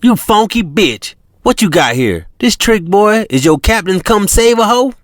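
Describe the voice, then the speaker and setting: A man's voice: high-pitched, big pitch swings, a little slow, dry recording, clean studio sound, pitched above the performer's own voice. A man voicing a menacing, vulgar pimp who sneers and threatens. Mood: furious. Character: menacing middle-aged male pimp, crude.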